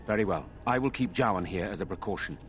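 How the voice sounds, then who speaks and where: A concerned fantasy-game nobleman, played by a male actor, fairly deep in voice. He sounds grave and earnest, a loyal ally weighing things, with faint ambient noise behind. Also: fast, natural voice.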